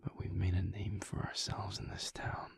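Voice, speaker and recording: A man playing a calm, brooding alpha werewolf pack leader in an intimate audio roleplay, speaking thoughtfully in a breathy, gravelly near-whisper with halting pauses. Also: deep voice. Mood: wary, deliberate. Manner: slow, halting, pensive delivery.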